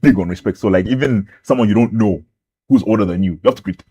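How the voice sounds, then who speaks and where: A man's voice: lowish voice, quick pace, mellow, breathy edge. A young man from Zambia, a rapper guest on a talk show, rambling casually with lots of fillers and restarts.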